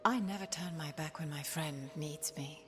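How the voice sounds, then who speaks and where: A woman's voice: sits low, lightly acted. Composed, regal elven goddess voiced by a woman. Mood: calm, assured. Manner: measured, formal, calm. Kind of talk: dramatic video game acting. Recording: music behind the voice.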